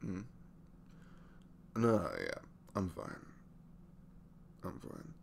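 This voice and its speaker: Tired twenties single dad, acted by a man, slow and halting yet expressive, intimate audio roleplay. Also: low voice, vocal fry, slight background noise, heavily acted. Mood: weary, gentle. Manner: hesitant, halting, softly chuckling. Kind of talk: intimate ASMR boyfriend-style roleplay.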